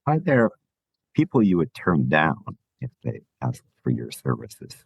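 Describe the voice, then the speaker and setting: A man hosting a podcast, interviewing a guest in a lively, joking way with halting restarts. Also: rumbling low, vocal fry, breathy edge, no echo, rough recording. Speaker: podcast host. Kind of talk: conversational interview.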